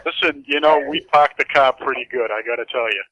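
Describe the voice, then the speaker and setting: Folksy man with a bright Boston accent. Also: medium pitch, huge pitch swings, brisk, gravelly, dry recording, highs cut off. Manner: folksy, calls people buddy and friend. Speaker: caller.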